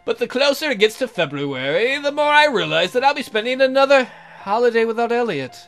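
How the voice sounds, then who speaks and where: A man's voice: very high-pitched, very animated, brisk, a bit bright. A man reading a visual novel aloud as a let's player, in a theatrical, jokey way with asides. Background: music.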